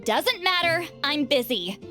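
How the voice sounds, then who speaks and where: A woman's voice: high voice, animated, a little slow, sharp tone, soft background music, exaggerated voice. A woman voicing a proud, prickly, ageless shaman in an anime game, with haughty, curt and dramatic delivery. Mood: irritated, dismissive. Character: proud, prickly, centuries-old shaman woman who looks young.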